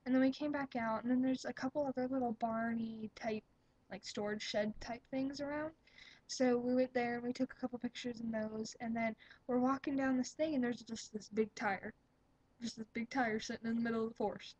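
A young woman with a slightly high, breathy voice chats to her webcam in an upbeat, rambling way. The sound is dry and close, with no room around it. She drifts from one thought to the next, full of fillers and little laughs. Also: steady tone, a little fast, a little mellow, no room sound, muffled recording. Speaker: vlogger. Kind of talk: casual webcam chat.

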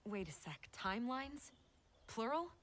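A woman's voice: on the high side, sing-song, measured pace, a bit bright. A woman playing a young, determined soldier in a game cutscene, with an American accent and a casual, direct manner. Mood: confused. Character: young female soldier, plain-spoken and determined. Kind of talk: naturalistic game cutscene acting.

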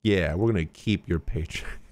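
A man's voice: deep voice, moderate pace, darkish tone. A young man streaming a game with slangy, teasing, casual live reactions. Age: young adult. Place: indoor streaming room. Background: game music.

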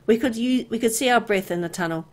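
A woman reading a horror visual novel aloud in an expressive, dramatic storytelling way. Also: on the high side, fast. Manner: expressive, acting out dialogue. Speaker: gameplay video narrator. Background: music.

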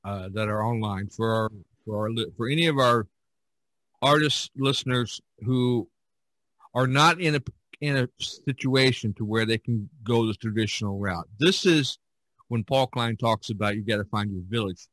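Casting: male podcast host, medium pitch, dry close sound, rambling storyteller with emphatic points. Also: moderate pace, fairly bright tone, dry recording, low-quality recording. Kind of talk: casual podcast discussion.

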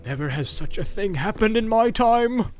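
Man playing a high-voiced flustered innkeeper. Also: animated, normal speed. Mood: horrified. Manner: fretful, lamenting. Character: flustered, dismayed male innkeeper. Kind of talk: dramatized audiobook acting.

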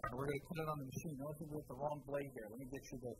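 A man's voice: medium pitch, fairly even intonation, rapid-fire, heavy background noise. A middle-aged man hosting a studio cooking demo, casual and instructive, teasing his co-host and laughing often. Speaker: cooking show host demonstrating cookware. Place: studio kitchen set.

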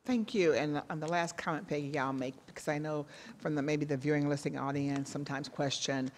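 A woman chairing a city council committee, speaking in a measured, appreciative way into a desk microphone. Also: low-pitched, fairly even intonation, a little hiss or hum. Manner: measured, appreciative, with many thanks and fillers. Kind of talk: formal council proceedings. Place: large city council chamber. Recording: long reverb of a large chamber.

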